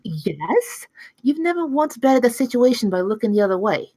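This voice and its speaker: A woman's voice: fairly high pitch, very animated, normal speed, dark tone, dry recording. A woman reading the part of a stubborn, pretentious young campus security officer at a script table read. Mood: indignant.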